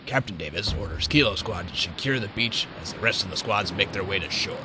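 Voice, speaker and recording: A man's voice: deep voice, lively intonation, brisk. A man reading scripted narration for an amateur radio war drama. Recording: noisy amateur recording.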